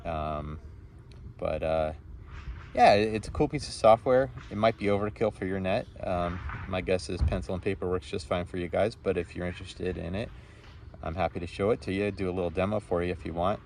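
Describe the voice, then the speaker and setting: A man's voice: deep voice, fairly quick, a little mellow, slight background noise. A man talking as an amateur radio operator in a casual two-way chat, with a rambling, appreciative, friendly manner.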